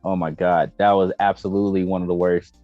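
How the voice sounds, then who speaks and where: A man's voice: deep voice, flat delivery, brisk, no room sound, faint music behind. An American man co-hosting a gaming show in laid-back, slangy casual chat, on dull lo-fi audio.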